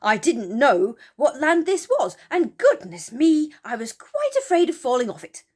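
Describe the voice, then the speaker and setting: A woman voicing an excited, joyful young male character from a children's storybook. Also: high-pitched, measured pace, voice pushed up. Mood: relieved, chatty. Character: excited young boy called Moonface, joyful and worried.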